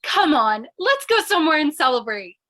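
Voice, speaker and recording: A woman playing a quick-witted, scheming captive in a radio play, theatrical and persuasive. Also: high voice, sing-song, pushed and bright, full character voice. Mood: brazen, seductive. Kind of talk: 1940s radio comedy-thriller acting.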